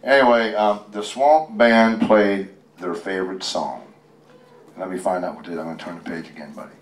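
A man's voice: low-pitched, steady tone, moderate pace, slight background noise. A man reading a picture book aloud to a group, chatty and folksy, with rambling ad-libbed asides to his listeners. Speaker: guest storyteller, tribute performer. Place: public library reading event. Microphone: handheld microphone. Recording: roomy, echoey, distant microphone pickup.

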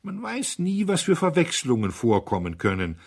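A man voicing a gruff, sardonic colonel in a dry, grumbling manner for an audiobook story. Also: fairly high pitch, huge pitch swings, normal speed, voice pushed up, exaggerated voice.

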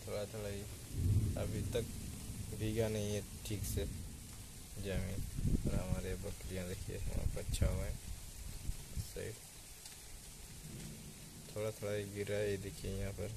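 A man's voice: lowish voice, little pitch movement, unhurried, vocal fry, long pauses. A young man in his twenties casually narrating a village daily vlog, filming himself on a phone held at arm's length in an outdoor courtyard. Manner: easygoing, chatty, points things out to viewers. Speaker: village vlogger. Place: village courtyard outdoors, rain, goats nearby. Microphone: phone selfie at arm's length. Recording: noisy outdoor phone recording.

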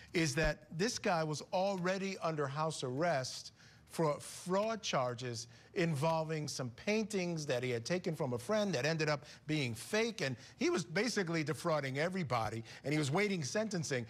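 A middle-aged man hosting a TV comment segment in a studio, talking with an animated, conversational manner on a lapel mic. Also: fairly high pitch. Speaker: TV news host.

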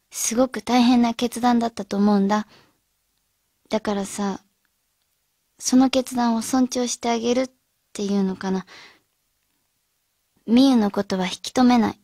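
Radio drama role: thoughtful schoolgirl leader played by a woman, gentle, fairly high, soft-edged, dry studio sound. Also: no echo, close to an everyday voice. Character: thoughtful, caring high school girl leading her friends.

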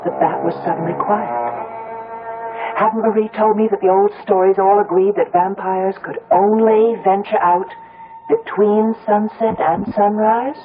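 An actress playing a troubled, disbelieving daughter in a radio drama, earnest and dramatic. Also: female voice, mid-range voice, steady tone, measured pace, faint music behind, lightly acted. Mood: uneasy, suspenseful. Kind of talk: 1970s radio drama acting, narration and dialogue. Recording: tinny narrowband old radio recording.

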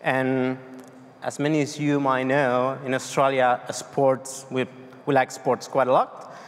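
A man's voice: medium pitch, level tone, slow pace. A man from Argentina in his thirties with a Spanish accent, giving a tech conference talk with many fillers and restarts. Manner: loose, hesitant delivery with many fillers and restarts. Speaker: conference speaker. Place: large dark conference hall on stage. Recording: long hall reverb.

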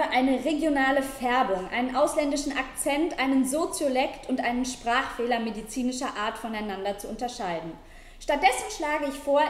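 Young female lecturer, measured literary reading, high voice, roomy echo. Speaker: university language lecturer. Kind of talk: presenting and reading an essay aloud. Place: high-ceilinged ornate room, seated at a table. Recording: echoey room.

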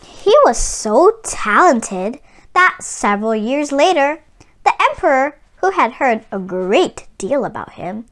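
A woman reading a children's picture book aloud as host, with deliberate, sing-song storytelling phrasing. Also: high-pitched, big pitch swings.

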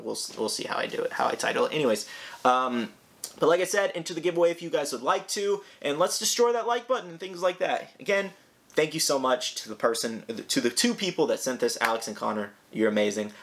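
A young man with a hoarse, raspy voice from a sore throat, chatting casually as he unboxes a collectible toy in his home room. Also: medium pitch, a bit bright. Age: young adult. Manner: chatty, rambling, eager.

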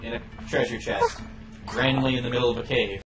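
A man's voice: mid-range voice, fairly even intonation, quick pace, reverberant, recorded from a distance, over music, low-quality recording. A man playing a game on stream, talking in a dry, mock-formal way with bursts of frustration. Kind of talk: casual chat while playing.

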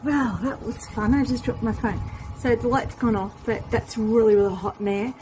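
A woman's voice: on the high side, fairly even intonation, normal speed, darkish tone, faint music behind, rough recording. A woman, chatting casually to a phone camera in her home kitchen, rambling with many fillers. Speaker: vlogger. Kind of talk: casual vlog talk. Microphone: handheld phone camera.

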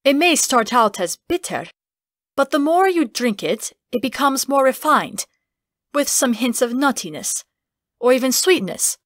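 A woman's voice: high-pitched, sing-song, unhurried, no echo, clean studio sound. Woman as a genteel, instructive fantasy man. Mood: patient, amused. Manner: formal, instructive. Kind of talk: audiobook character acting.

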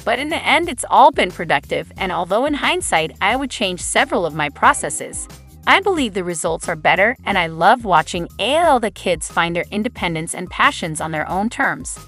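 A woman's voice: medium pitch, sing-song, normal speed, a bit bright, soft background music. A woman reading scripted celebrity gossip news as a voice-over, quotes read in her same narrating voice. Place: voice-over over photo slideshow.

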